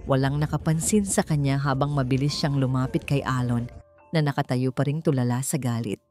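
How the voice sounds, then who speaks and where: A woman reading a scripted romance drama as its narrator, in a measured, dramatic storytelling manner. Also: very deep voice, mellow, faint music behind.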